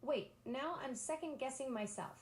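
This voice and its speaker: A woman's voice: high-pitched, moderate pace, roomy sound, a little hiss or hum. A synthetic female voice assistant talking in a bubbly, enthusiastic, eager-to-please way. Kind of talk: upbeat synthetic assistant chat.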